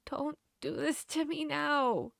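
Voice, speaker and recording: A woman's voice: sits high, fairly even intonation, slow, vocal fry, no room sound, studio quality, exaggerated voice. A woman playing a protective, caring vampire hunter in an intimate fantasy audio roleplay, speaking directly to the listener. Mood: panicked, pleading. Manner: intimate, addressing the listener directly, shifting between hushed and urgent.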